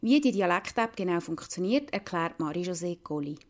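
A woman's voice: on the low side, lively intonation, brisk, noisy background. A woman with a Lucerne Swiss German accent, narrating a scripted radio report as a reporter.

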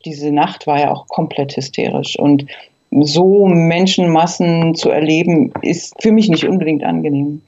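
Female interviewee, fifties, from Berlin. Warm, relaxed timbre, reflective recollection, somewhat dull sound. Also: sits low, measured pace. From Berlin, East Germany. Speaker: interview guest, eyewitness.

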